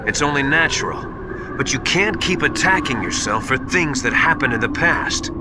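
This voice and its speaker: An actor playing a wise, stern mentor in a video game, measured and admonishing. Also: male voice, a little high, lively intonation, heavy background noise, natural voice. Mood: sympathetic, admonishing. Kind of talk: dramatic video game voice acting. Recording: loud music behind.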